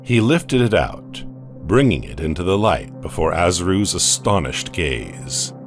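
Slow, deep-voiced male narrator for an audio horror comic, animated delivery. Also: soft background music. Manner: slow dramatic storytelling.

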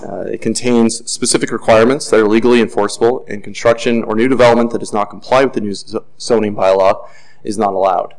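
A man on city planning staff reading a formal report in a measured way, with occasional fillers, at a desk microphone in a council chamber. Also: fairly low pitch, steady tone, a little mellow, rough recording.

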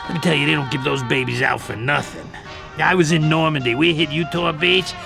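An actor playing a coarse, chatty middle-aged American war veteran who sells guns in a video game. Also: male voice, fairly high pitch, fairly quick, vocal fry, over music. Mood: amazed. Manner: excitable, coarse, salesman-like. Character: gruff, chatty one-eyed war veteran gun dealer. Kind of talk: video game cutscene acting.

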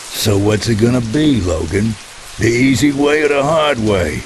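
An actor playing a menacing, taunting thug in a video game, with a sneering, threatening delivery. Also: male voice, medium pitch, expressive, measured pace, close to an everyday voice. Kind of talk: dramatic video game acting. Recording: clear rain noise.

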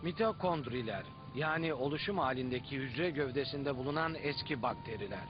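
A man's voice: medium pitch, expressive, a little fast, natural voice. A man playing the patient, explanatory storyteller-narrator of an educational cartoon. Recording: muffled audio with music behind.

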